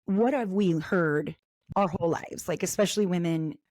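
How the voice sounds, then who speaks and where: A woman's voice: fairly low pitch, moderate pace, darkish tone, no room sound. A woman in her forties co-hosting a podcast, telling stories in a chatty way full of fillers. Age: 40s. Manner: storytelling, chatty, many "like"s. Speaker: podcast co-host. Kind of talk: casual podcast chat.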